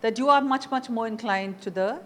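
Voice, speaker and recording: A woman's voice: on the high side, moderate pace, a little hiss or hum. A woman hosting a conversational interview. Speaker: interview show host.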